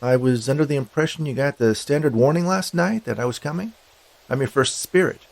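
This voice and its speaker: A man's voice: mid-range voice, a little fast, creaky, a little mellow, pitched above the performer's own voice, natural voice. A man voicing a breezy, wisecracking skeleton spirit in a storybook reading, casual and brash. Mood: breezy, teasing.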